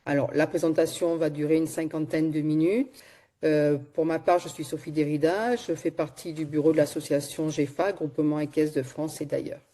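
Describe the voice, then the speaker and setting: Mellow-voiced woman introducing a webinar. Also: sits low, fairly even intonation, normal speed. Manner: formal, read-out introduction. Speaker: webinar host introducing the speaker. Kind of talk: scripted introduction.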